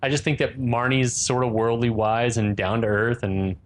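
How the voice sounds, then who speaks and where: A man's voice: medium pitch, flat delivery, normal speed. A man co-hosting a podcast, chatting casually about a film with wry, joking asides. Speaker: podcast co-host. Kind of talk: casual movie recap chat.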